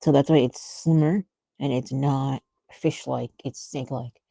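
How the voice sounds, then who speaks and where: A woman's voice: a bass voice, steady tone, a little slow, creaky, warm tone, no room sound. A woman thinking aloud in casual livestream art commentary, musing and often trailing off mid-thought. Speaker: artist streamer thinking aloud while drawing.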